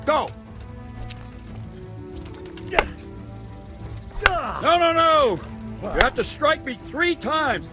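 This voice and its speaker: A man's voice: extremely high pitch, animated, unhurried, music in the background. A man playing a stern, demanding medieval swordmaster in a video game, giving blunt, commanding, instructive lines to a pupil. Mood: commanding, urgent. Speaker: combat trainer.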